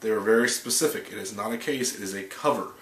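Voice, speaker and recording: A man's voice: fairly low pitch, fast talker. A man in his thirties with a stuffy cold, hosting a chatty, upbeat tech news recap to a webcam in a small home office, reading from notes with restarts. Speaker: tech video host. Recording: echoey room, faint room hum.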